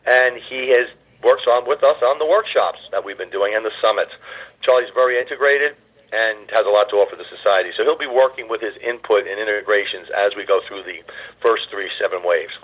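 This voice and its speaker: A hoarse man hosts a conference call over a tinny phone line. He rambles and reassures, dropping in filler uhs as he goes. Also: on the low side, fairly quick. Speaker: call host.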